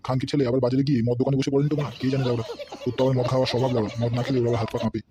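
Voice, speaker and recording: A man's voice: mid-range voice, steady tone, very fast, close to an everyday voice. A man voicing a bullying, hot-tempered politician boss in a comedy cartoon, blustering, threatening and cursing. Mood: grumbling, irate.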